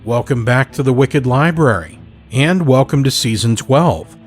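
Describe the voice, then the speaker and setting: A man hosting a horror podcast, reading a scripted introduction with measured, theatrical phrasing. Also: medium pitch. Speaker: podcast host. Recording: music behind the voice.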